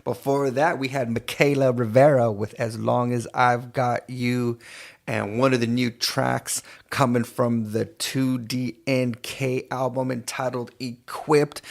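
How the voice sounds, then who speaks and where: A male disc jockey from East Los Angeles with a creaky, gravel-edged voice presents a music show. He takes his time, stretching out phrases and pausing between them like an announcer. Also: medium pitch. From East Los Angeles, California. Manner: drawn-out, deliberate announcer phrasing with pauses. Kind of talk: radio music show hosting.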